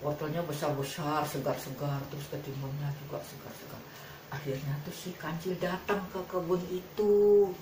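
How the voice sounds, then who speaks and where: A woman tells a children's fable in a slightly low voice, informal and repetitive, as if making it up as she goes. She is some distance from the mic in an echoey space, with faint noise behind her. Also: fairly even intonation, normal speed. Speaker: storyteller.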